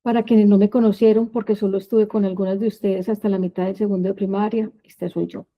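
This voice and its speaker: A woman in her fifties with a Medellín Colombian accent, telling her life story as a prepared talk in measured, short phrases. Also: medium pitch, little pitch movement, warm tone. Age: 50s.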